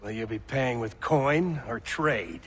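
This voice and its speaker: An actor playing a plain, businesslike colonial shopkeeper in a video game, with a casual folksy manner. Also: male voice, mid-range voice, takes it slowly, fairly bright tone. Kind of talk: video game cutscene acting. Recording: faint background noise.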